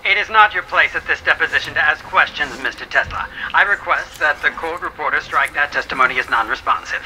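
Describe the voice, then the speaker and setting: A man's voice: a little high, expressive, brisk, pushed and bright, a little hiss or hum, rough recording. A man playing a stern, scornful government attorney in a courtroom audio drama, cross-examining in a pompous, clipped, theatrical manner. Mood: pressing.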